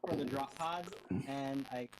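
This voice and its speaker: A man's voice: mid-range voice, a little slow, poor audio. A man as a podcast guest, chatting casually about video games like an eager fan explaining details.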